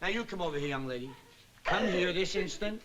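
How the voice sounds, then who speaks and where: An actor playing a doting, excitable showbiz husband who coaxes with pet names in a classic TV sitcom. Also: male voice, high-pitched, brisk, crowd noise. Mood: exasperated. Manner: coaxing, full of pet names. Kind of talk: classic TV sitcom acting before an audience. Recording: loud studio audience laughter.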